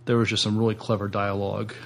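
A middle-aged man co-hosting a podcast in casual, halting discussion, often repeating words. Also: fairly low pitch, little pitch movement, vocal fry, fairly bright tone, slight background noise. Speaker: podcast co-host.

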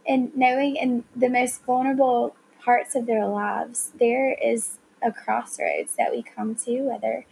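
A woman's voice: a little high, flat delivery, unhurried, gravelly, few pauses, a little mellow, a little hiss or hum, low-quality recording. A woman in her thirties with a Southern accent, telling a personal story earnestly in a conversation. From South Carolina. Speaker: guest. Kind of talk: casual conversation.

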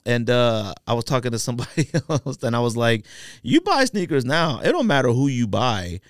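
Lively man chatting about with slangy opinions. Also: medium pitch, moderate pace, dry recording, studio quality. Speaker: podcast host. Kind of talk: casual sneaker and basketball chat.

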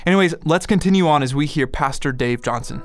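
A man's voice: a little high, fast talker, soft background music. A man hosting a podcast, introducing the next talk and making a casual, upbeat donation appeal. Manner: casual, upbeat, filler-heavy. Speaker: podcast host.

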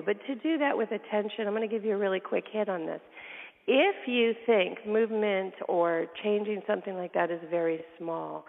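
A woman's voice: medium pitch, creaky. A woman hosting an interview in a loose, chatty way with fillers. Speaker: host. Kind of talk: casual interview talk. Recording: tinny phone line with reverb.

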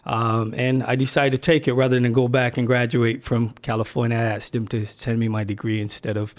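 A middle-aged man from Barbados, talking on a podcast in a relaxed, hesitant way with many fillers and restarts. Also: mid-range voice, a little fast. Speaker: agency communications staffer, podcast guest. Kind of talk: casual podcast chat.

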